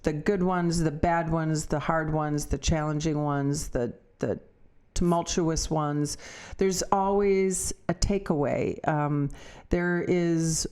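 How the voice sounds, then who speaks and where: A woman co-hosting a podcast in casual, unscripted chat, reflective and halting as she searches for words. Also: sits low, darkish tone. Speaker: podcast co-host.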